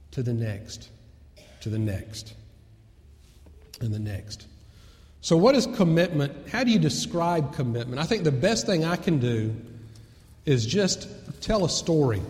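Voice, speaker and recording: A man's voice: a little high, darkish tone. A man preaching a sermon with storytelling, repeating key phrases for emphasis. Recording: echoey hall with long reverb.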